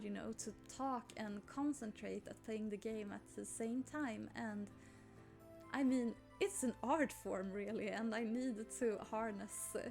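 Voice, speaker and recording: Slow-talking Swedish woman playing a game. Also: fairly high pitch, creaky, a little mellow. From Sweden. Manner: chatty, rambling and apologetic, squealing with delight at cute things. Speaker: gaming YouTuber. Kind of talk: casual let's play commentary. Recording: faint game music behind.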